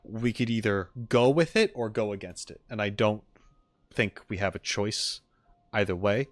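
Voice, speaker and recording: Low-voiced man in casual stream chat. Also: normal speed, dry recording. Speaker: streamer reacting and chatting with viewers. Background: music in the background.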